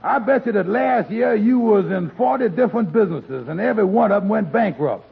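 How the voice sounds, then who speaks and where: An actor playing a blunt male friend who scolds his pals, with a gravelly, husky voice and dialect speech, in 1940s radio comedy. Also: high voice, expressive, fairly quick, full character voice. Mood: lecturing. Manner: lecturing, frank. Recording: tinny old radio broadcast recording.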